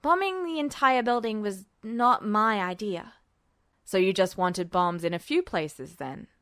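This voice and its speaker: Woman lowering her voice for a smug, unflappable male officer. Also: a little slow, no room sound. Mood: smug, unruffled. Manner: dry, teasing, calmly commanding. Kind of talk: podfic character voice.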